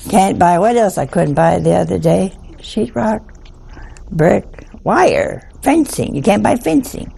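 An older woman, a nun, giving an informal spiritual talk in a hoarse voice, chatty and humorous. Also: mid-range voice, animated, unhurried, warm tone. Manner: chatty, humorous, laughs at own stories.

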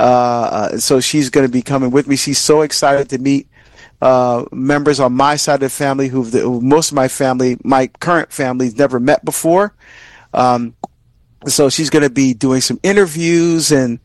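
A middle-aged man from Barbados hosting a religious radio talk, speaking conversationally in an earnest, halting way with repeated phrases. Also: medium pitch, no room sound. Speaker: religious radio host and deacon.

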